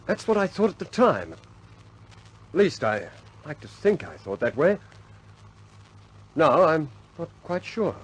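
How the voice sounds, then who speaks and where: An earnest young bomber skipper in a 1950s British war film, troubled by self-doubt. The voice is fairly high for a man, husky and very mobile in pitch. He speaks haltingly and with understatement. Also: sing-song, exaggerated voice, low-quality recording. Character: conscientious young male RAF squadron leader, self-doubting, earnest.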